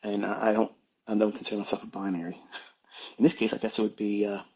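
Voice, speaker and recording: A man's voice: fairly low pitch, monotone, quick pace, darkish tone, slightly rough, dull audio. A man giving an informal, unscripted lecture as an educator, blunt and emphatic, repeating phrases for stress. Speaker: trading educator hosting an online audio talk.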